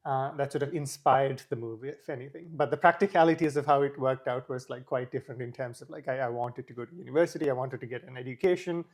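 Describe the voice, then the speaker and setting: A man's voice: medium pitch, quick pace, hardly any pauses, a little mellow. A young man from Sri Lanka, a guest talking casually in an interview, with many fillers.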